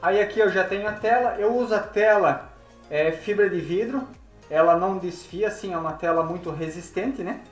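A man's voice: high-pitched, normal speed. A man in his thirties from Brazil, demonstrating a job in a large workshop while he works, explaining plainly with frequent tag questions. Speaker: window installer. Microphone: phone camera nearby. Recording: echoey workshop with background music.

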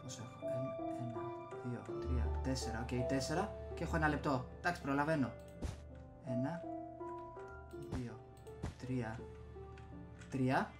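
A man's voice: medium pitch, pauses often, loud music behind the voice. A young man from Greece giving casual gameplay commentary as a video host, muttering to himself as he plays. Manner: muttering to himself while playing. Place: small room at home. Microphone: desktop USB microphone.

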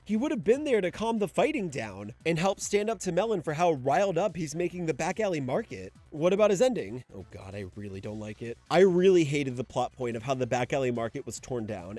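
A young man giving casual video-essay commentary, animated and sometimes ranting, sometimes sentimental. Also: a little high. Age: young adult.

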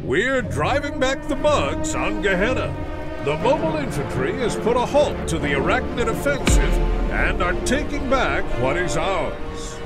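High, animated propaganda newsreel man. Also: unhurried, over loud music. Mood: rousing. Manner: bombastic, patriotic. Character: upbeat male military propaganda newsreel announcer. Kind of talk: rousing wartime newsreel narration.

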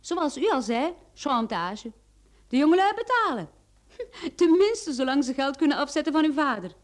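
An actress playing a sharp, confident female private detective in a radio play, explaining things in an assured, theatrical way. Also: high-pitched, big pitch swings, normal speed. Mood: confident, amused. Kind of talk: Dutch-language radio drama acting.

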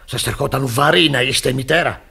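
An actor playing a stern, easily scandalized pastor in Greek radio theatre, formal and preachy, with a husky voice. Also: male voice, mid-range voice, sharp tone, a little hiss or hum. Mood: stern, reproachful.

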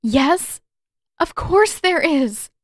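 A woman's voice: sits high, lively intonation, very slow, dry recording, studio quality, pitched above the performer's own voice, heavily acted. A woman voicing an upset, emotional teenage girl in an audiobook story. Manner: upset, bursting out. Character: distraught, emotional teenage girl with magical powers.

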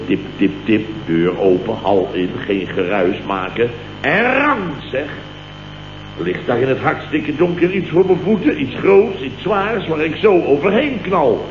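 A man's voice: medium pitch, lo-fi sound, close to an everyday voice. Indignant Dutch male boss played by a man, mock-dramatic and clipped, vintage radio comedy, heavy hiss and hum in a roomy recording. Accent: Dutch, from the Netherlands. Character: blustering, indignant male company boss telling tales. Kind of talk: 1960s-70s radio comedy acting.

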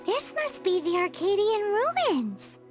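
A woman voicing a tiny, excitable, childlike fairy companion in a video game, bubbly and full of exclamations. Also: very high voice, takes it slowly, exaggerated voice. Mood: excited. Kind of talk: video game character acting. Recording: loud game music behind. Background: game music.

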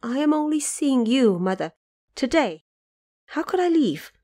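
A woman's voice: high voice, sing-song, unhurried, dark tone, pitched above the performer's own voice. A woman voicing an eighteen-year-old male monk who speaks humbly and pleads in an audio drama. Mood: earnest, pleading.

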